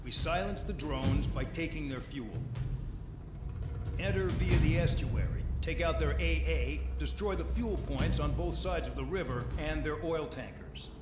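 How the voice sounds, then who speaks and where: A man's voice: on the high side, measured pace, close to an everyday voice. An actor playing a harsh, contemptuous male military commander in a video game, giving briefings in a stern, clipped way. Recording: echoey hall, loud music behind.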